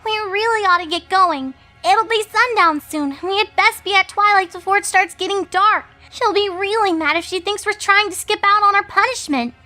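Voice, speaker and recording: A woman voicing young fillies, girlish and bright, nervous and wary. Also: extremely high pitch, moderate pace, hardly any pauses, faint music behind, voice pushed up.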